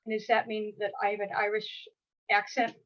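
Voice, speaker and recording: A woman's voice: medium pitch, monotone, fairly quick, a bit bright. A woman in her sixties, a guest author talking casually over a video call, chatty and rambling with many restarts. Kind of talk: casual conversation. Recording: dull lo-fi audio.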